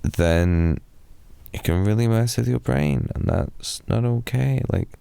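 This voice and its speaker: A young British man speaks in a low, gravelly murmur with a mellow, dark tone. He rambles slowly and softly in an improvised boyfriend ASMR roleplay, now and then dropping to a whisper, recorded dry and close. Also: no room sound. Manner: soft, drowsy, rambling with slips into whispers. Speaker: ASMR performer.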